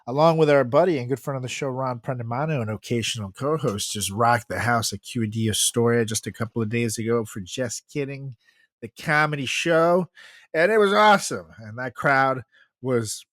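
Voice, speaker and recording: A man's voice: mid-range voice, normal speed, fairly bright tone, no echo. A man co-hosting a podcast in casual chat, joking and laughing often as he hypes the show. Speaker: podcast co-host.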